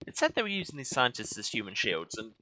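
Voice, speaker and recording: A man's voice: medium pitch, huge pitch swings, quick pace, pushed and bright, slight background noise, poor audio. A man giving casual, dryly sarcastic commentary while playing a video game. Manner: dry, sarcastic asides. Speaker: host of a game playthrough.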